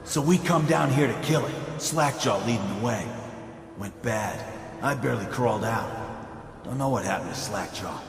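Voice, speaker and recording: A man playing a wary, shaken street-gang survivor in a game, terse and rough-spoken. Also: mid-range voice, animated, normal speed, faint music behind, lightly acted. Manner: terse, colloquial. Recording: echoey, reverberant.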